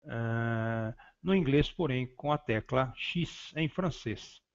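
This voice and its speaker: A man teaching a class on phonetics in a halting, lecturing way, restarting phrases and often saying "então". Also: mid-range voice, creaky, lo-fi sound. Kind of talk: recorded lecture.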